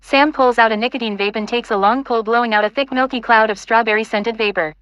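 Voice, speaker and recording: A woman's voice: on the high side, steady tone, fast talker, a bit bright, clear, resonant tone, no echo, highs cut off. A woman reading scripted stage directions as the narrator of an audio play.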